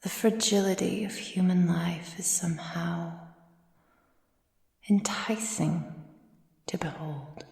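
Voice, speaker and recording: Woman acting slow, whispery seductive vampire woman. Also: fairly low pitch, steady tone, darkish tone. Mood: wistful, hypnotic. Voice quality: breathy, mostly whispered. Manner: slow, deliberate, theatrical, teasing. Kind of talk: ASMR roleplay, intimate monologue. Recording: roomy sound with long reverb.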